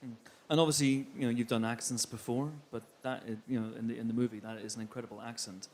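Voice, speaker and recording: A man's voice: mid-range voice, fairly even intonation, quick pace, a little mellow. A man working as an interviewer, putting conversational questions to his guests.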